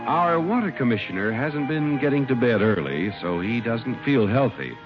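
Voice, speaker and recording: A man's voice: mid-range voice, expressive, normal speed, music in the background. A man announcing in 1950s radio style, reading advertising copy and narration with emphatic, polished salesmanship. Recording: muffled old radio recording.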